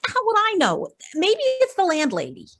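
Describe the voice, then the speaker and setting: An actress playing a sardonic, slangy American female crook in an old-time radio crime drama, quick with sarcastic wisecracks. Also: very high voice, wildly swooping pitch, full character voice. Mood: irritated, wry. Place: performed from home on a video call.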